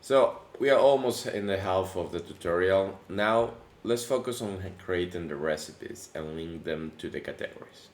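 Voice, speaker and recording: A man hosting a casual coding tutorial, his voice deepened by allergies, talking in a relaxed, chatty way with many fillers and restarts. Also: normal speed, few pauses. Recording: echoey room with faint background noise.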